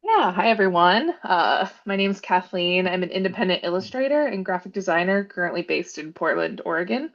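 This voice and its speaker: A woman illustrator and graphic designer introducing herself as a webinar guest, relaxed and measured. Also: lowish voice, highs cut off.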